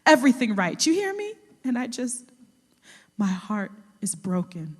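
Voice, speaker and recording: A loving, protective mother in an audio drama, earnest and full of feeling. Her voice is high and slow. A long reverb hangs around it. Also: sing-song. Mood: grief-stricken. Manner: earnest, emotional. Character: loving, protective mother, grief-stricken over her son.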